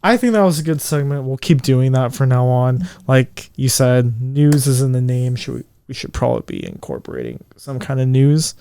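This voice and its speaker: A man in his twenties co-hosting a casual podcast chat, swearing freely, his voice jumping high when he gets indignant. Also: sing-song, normal speed, creaky, hardly any pauses, warm tone, clear, resonant tone. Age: 20s. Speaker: podcast co-host.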